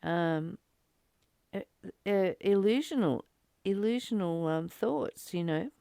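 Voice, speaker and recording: Clear-voiced woman in spiritual discussion. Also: medium pitch, slow pace, mellow, no room sound, clean studio sound. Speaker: psychic healer leading a spiritual book study. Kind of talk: casual spiritual discussion with some read-aloud passages.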